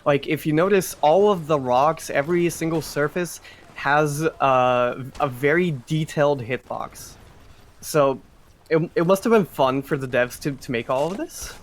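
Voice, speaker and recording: A man giving live explanatory speedrun commentary, halting and often repeating words as he explains. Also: fairly high pitch.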